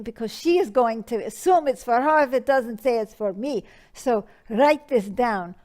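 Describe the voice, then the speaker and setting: A woman's voice: on the high side, darkish tone, close to an everyday voice. A woman voicing a flamboyant, dramatic dead old madame who calls people darling and gives advice. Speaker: advice show persona.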